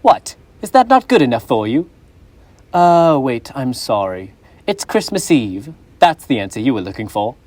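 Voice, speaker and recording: A young woman on a school stage playing a gruff, defensive old miser who stammers and pleads. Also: very deep voice, sing-song, moderate pace, darkish tone, exaggerated voice. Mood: irritable. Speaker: stage actor. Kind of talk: school stage play acting.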